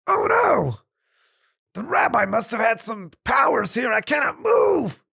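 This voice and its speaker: A man doing a shouting, panicked landowner. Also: extremely high pitch, wildly swooping pitch, measured pace, gravelly, breathy edge, no room sound, studio quality, voice pushed up, heavily acted. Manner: shouting, frantic, stammering.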